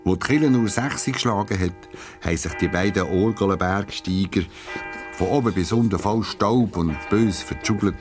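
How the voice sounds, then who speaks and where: A man's voice: on the low side, normal speed, over music. A man with a Swiss German accent narrating a scripted story for children.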